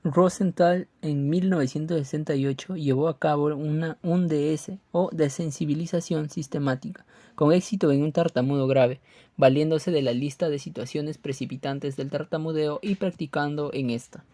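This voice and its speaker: A man's voice: on the high side, fairly even intonation, normal speed, warm tone, dry recording. A man reading scripted educational narration aloud in a formal manner.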